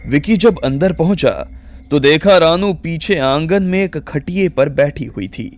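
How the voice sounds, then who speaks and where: A man's voice: on the high side, measured pace. A man narrating a scripted audio drama story as its storyteller. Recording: music bed under the voice.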